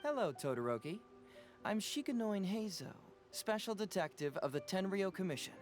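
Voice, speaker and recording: A cocky young detective presses his questions with smooth, teasing confidence. His voice sits a bit high. Faint music runs underneath. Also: very animated, moderate pace. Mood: friendly. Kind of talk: video game voice acting.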